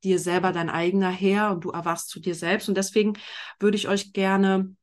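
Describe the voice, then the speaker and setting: A woman leading a group discussion in a slow, deliberate way, her phrases often trailing off softly. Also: medium pitch, level tone. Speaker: workshop facilitator.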